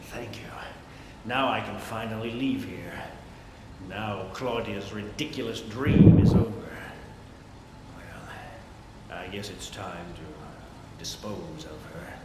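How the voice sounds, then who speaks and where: A menacing, fanatical middle-aged zealot from a video game preaches slowly and deliberately. Every word rings through a huge reverberant space. A heavy wash of loud noise surrounds him. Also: male voice. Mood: smug, pious. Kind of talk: dramatic game cutscene acting. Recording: very echoey hall, loud rumbling noise.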